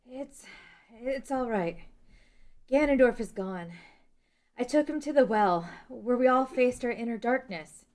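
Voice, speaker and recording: A woman's voice: on the high side, animated, measured pace, a little mellow. A woman playing a determined, steadfast young princess in a fantasy table read. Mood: weary, relieved.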